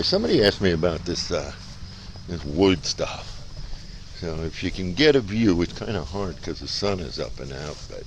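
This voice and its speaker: A man vlogging casually in a folksy, easygoing, rambling way. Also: very deep voice. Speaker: vlogger showing farm life. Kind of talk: casual rambling outdoor chat. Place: outdoors on a farm and riding in a vehicle. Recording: noisy outdoor recording, vehicle and insects.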